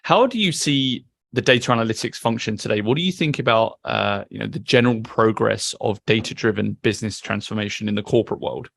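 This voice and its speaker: A man hosting a podcast interview, asking questions in a quick, casual way with fillers. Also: on the low side, gravelly, a bit bright, dry recording. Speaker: podcast host.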